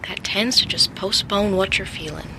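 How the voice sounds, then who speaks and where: An actress playing a supportive, frank female friend in an audio drama, gentle but direct. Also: mid-range voice, moderate pace, vocal fry, a little hiss or hum, poor audio. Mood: concerned, gentle. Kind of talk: naturalistic audio drama acting.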